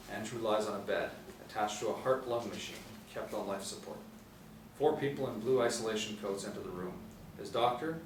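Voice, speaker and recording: Guy in his 40s reading script directions, lowish voice, echoey room. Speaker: narrator reading stage directions. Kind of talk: scripted narration. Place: small indoor room, seated. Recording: roomy, distant mic, faint hiss.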